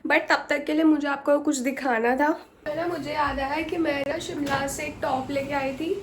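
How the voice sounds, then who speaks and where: Young woman, high voice with sore throat. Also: steady tone, moderate pace, slight background noise. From India. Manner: chatty, rambling, many fillers. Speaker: vlogger. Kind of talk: casual chat to camera. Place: at home in her bedroom.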